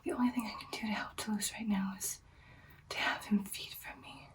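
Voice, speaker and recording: A woman playing a caring, anxious vampire girlfriend in a whispered ASMR roleplay, speaking softly and haltingly in a soothing way. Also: medium pitch, fairly even intonation, far from the mic, natural voice. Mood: worried, reassuring. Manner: soft, hushed, halting, soothing personal attention.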